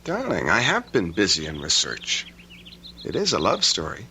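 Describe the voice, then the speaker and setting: Animated, bright-voiced young American husband. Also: male voice, low-pitched, normal speed, full character voice. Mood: teasing. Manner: wry, teasing. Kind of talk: radio drama acting. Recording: old radio broadcast with faint hiss.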